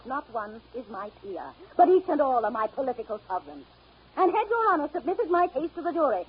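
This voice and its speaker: An actress playing an indignant women's suffrage campaigner with emphatic, formal oratory in 1930s radio drama style. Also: female voice, high voice. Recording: muffled, noisy 1930s radio recording.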